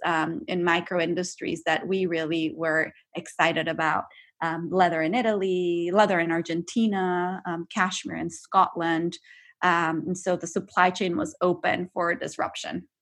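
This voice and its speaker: A woman's voice: on the low side, a bit bright. A middle-aged woman from Ecuador, a company co-founder answering questions in a podcast interview, thoughtful and full of fillers and restarts.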